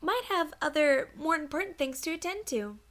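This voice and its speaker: A woman's voice: high-pitched, lively intonation, moderate pace, a bit bright. A woman playing a teasing, gossipy female pony in an audio drama, sly and insinuating.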